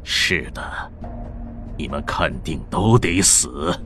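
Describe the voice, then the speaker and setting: A man's voice: very deep voice, animated, takes it slowly, over music, heavily acted. A man voicing a cold giant monster villain. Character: huge armored evolved monster villain, male, calm, cold.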